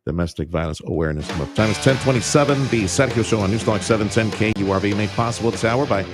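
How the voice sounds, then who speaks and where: Deep-voiced radio host. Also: male voice, fast, over music. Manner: relaxed, stumbling restarts, folksy. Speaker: radio talk show host. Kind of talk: casual radio interview. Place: radio studio. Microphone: studio microphone.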